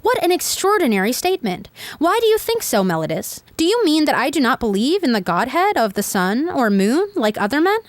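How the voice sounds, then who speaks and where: A woman's voice: high voice, very animated, a little fast, no echo, voice pushed up. A woman reading as an old philosopher on trial. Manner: argumentative, questioning, rhetorical. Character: elderly male philosopher defending himself in court. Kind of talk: reading a dialogue aloud.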